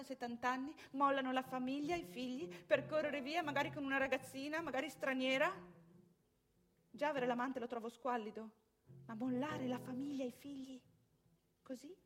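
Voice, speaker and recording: An actress playing a prim, self-assured wife and mother in a confiding, judgmental theatrical monologue. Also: high-pitched.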